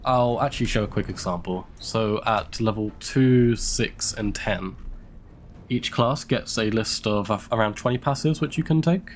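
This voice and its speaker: A man's voice: fairly low pitch, moderate pace, a little hiss or hum. A young man with a London English accent, stuffy from a cold, chatting casually while streaming a game live. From United Kingdom. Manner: chatty, laughs often, thinks aloud. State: stuffy from illness. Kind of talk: casual gameplay commentary.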